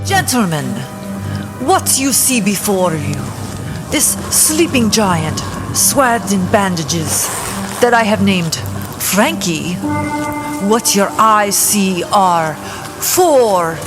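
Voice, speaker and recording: Very slow, grandiose mad scientist. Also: female voice, mid-range voice, animated. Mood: grandiose pride. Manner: grandiose, deliberate. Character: theatrical mad scientist proudly presenting a creation. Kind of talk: pulp crime audio drama acting. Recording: music and rain-like effects behind.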